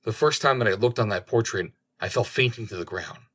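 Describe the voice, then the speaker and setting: A man's voice: lowish voice, brisk, fairly bright tone. A man voicing an ardent young king in a storybook, speaking with earnest, formal declamation. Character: ardent young king wooing his captive bride.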